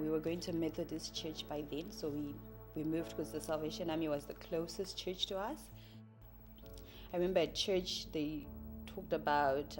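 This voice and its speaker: A woman in her early thirties with a Zimbabwean accent, a native Shona speaker, giving a hesitant, reflective personal testimony. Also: low-pitched. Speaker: church member telling her life story. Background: music.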